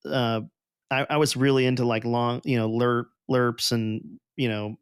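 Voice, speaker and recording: A man's voice: mid-range voice, flat delivery, unhurried, smooth, no room sound, studio quality. A man hosting a podcast, talking in a casual, profane and rambling way. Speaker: podcast host.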